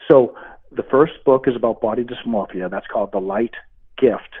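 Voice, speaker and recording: A man's voice: mid-range voice, normal speed, breathy edge. Earnest Boston man on a phone line. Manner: earnest, faith-filled. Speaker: guest. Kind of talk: interview over the phone.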